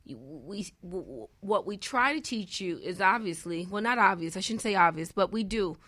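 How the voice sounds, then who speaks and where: A woman's voice: medium pitch, lively intonation, moderate pace, sharp tone, no echo. A woman speaking as a real estate expert on talk radio, explaining conversationally with restarts and acted-out examples. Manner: restarts and self-corrects, acts out examples.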